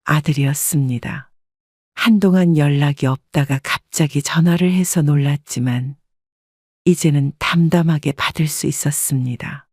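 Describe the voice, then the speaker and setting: A woman narrating a first-person story in a calm, measured way. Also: sits low, no room sound, studio quality.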